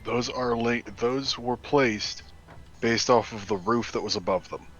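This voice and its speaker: A man's voice: medium pitch, measured pace, muffled recording, soft background music. A man chatting casually as a co-player during a video game. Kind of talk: casual gameplay chat.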